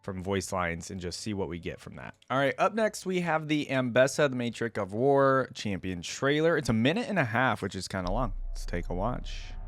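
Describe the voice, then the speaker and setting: A man's voice: mid-range voice, sing-song, moderate pace, few pauses, no echo, faint music behind. A man hosting a reaction video with casual, informal commentary.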